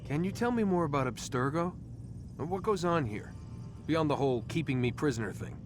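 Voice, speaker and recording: A man's voice: on the high side, lively intonation, brisk, music in the background, lightly acted. A man playing a sarcastic, frustrated young American captive in a video game, with slangy, wry delivery. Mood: probing, sarcastic. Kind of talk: naturalistic video game acting.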